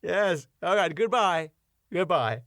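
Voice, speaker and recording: A man playing an excitable, flamboyant married ballroom club owner in a sitcom script reading. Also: high voice, slow, dry recording, studio quality, heavily acted. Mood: eager, deferential. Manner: flamboyant, exclamatory.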